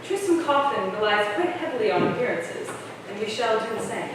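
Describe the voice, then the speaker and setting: Woman playing a gently insistent colonial wife. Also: a little high, expressive, fairly quick, natural voice. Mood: affectionate. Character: affectionate, practical, teasing colonial wife. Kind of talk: staged reading of a historical play. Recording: echoey room, distant, clear background noise.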